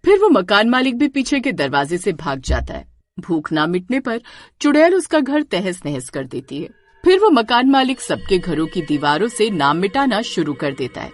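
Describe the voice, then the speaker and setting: A woman narrating a scripted horror story in a dramatic, expressive way. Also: medium pitch, normal speed, faint music behind.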